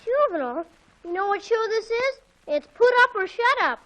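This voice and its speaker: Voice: extremely high pitch, sing-song, moderate pace. A performer playing a cheeky, self-important young boy, the slangy kid brother of a 1940s radio comedy. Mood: excited, boastful. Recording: noisy 1940s radio broadcast.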